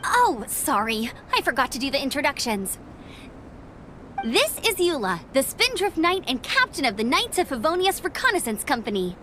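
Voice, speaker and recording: A woman's voice: sits high, big pitch swings, moderate pace, sharp tone, a little hiss or hum, heavily acted. A woman playing a perky, friendly and eager young female adventurer in a video game. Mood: friendly explaining.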